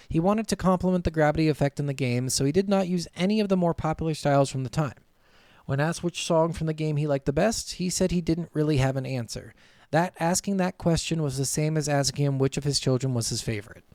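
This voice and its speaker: A man's voice: on the high side, a little mellow, no echo, studio quality. A man co-hosting a casual gaming podcast, talking bluntly and swearing freely, sometimes reading from notes. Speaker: podcast co-host.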